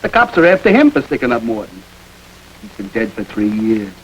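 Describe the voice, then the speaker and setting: An actor playing a joking, streetwise gangster in an old crime film. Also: male voice, lowish voice, very animated, brisk, poor audio. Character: wisecracking gangster, middle-aged man. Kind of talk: 1930s film acting. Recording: old film soundtrack with faint noise.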